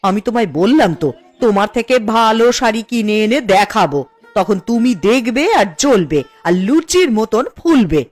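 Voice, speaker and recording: Woman acting a peevish high-voiced village housewife. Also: expressive, moderate pace, a little mellow, faint music behind. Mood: snappish, defiant. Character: peevish, competitive village housewife, quick to take offence. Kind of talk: Bengali cartoon voice acting.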